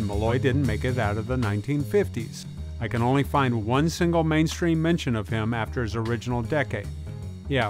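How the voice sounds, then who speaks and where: A man reading scripted documentary narration in an informal, folksy way. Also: mid-range voice. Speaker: video essayist, narrator. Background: music bed with guitar.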